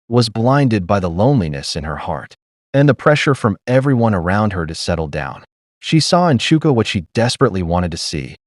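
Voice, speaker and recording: A man narrating a scripted dramatic story in a solemn, measured storytelling manner. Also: on the low side, a little mellow, no room sound, studio quality.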